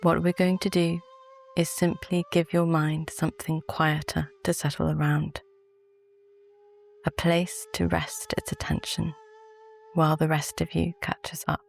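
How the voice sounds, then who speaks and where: A woman with a British accent gently narrates a guided sleep meditation in a soft, calm, soothing voice. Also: deep voice, a little slow, vocal fry, soft background music.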